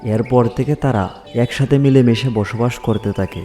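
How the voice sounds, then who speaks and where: A man's voice: mid-range voice, moderate pace, mellow, soft background music. A man narrating a scripted fairy tale for children as a storyteller.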